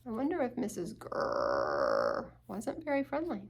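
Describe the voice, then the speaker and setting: A woman's voice: fairly high pitch, huge pitch swings, unhurried, gravelly. A woman reading a picture book interactively to young children, asking questions and adding little playful asides. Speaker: children's story time reader.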